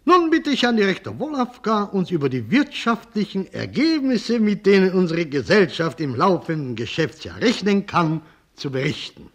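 An actor in a German radio drama playing a brisk, self-important company chairman, a pragmatic businessman. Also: high voice, sing-song. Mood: formal, confident.

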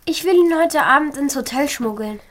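A woman's voice: high voice, lively intonation, normal speed. A woman playing an eager, thoughtful, kind-hearted schoolchild who acts and narrates in a children's radio play. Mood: secretive, determined.

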